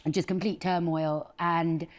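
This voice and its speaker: Low-voiced woman from Afghanistan. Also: slow. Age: 30s. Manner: candid and expressive, laughs often. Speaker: guest chef. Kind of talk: conversational life story.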